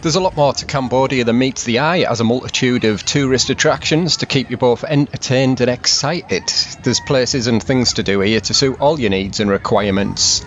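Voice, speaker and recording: Male vlogger, calm informative voice-over, mid-range voice. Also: mid-range voice, dull audio, a little hiss or hum. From England. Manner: steady, informative tour-guide delivery. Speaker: travel vlog host. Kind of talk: scripted voice-over narration.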